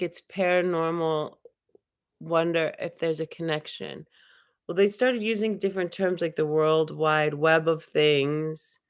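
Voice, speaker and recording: A woman's voice: deep voice, a little mellow, clear, resonant tone. A young woman hosting a casual livestream, rambling and musing in a loose, spiritual way with many filler words. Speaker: livestream host. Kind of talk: casual livestream chat, reading viewer comments.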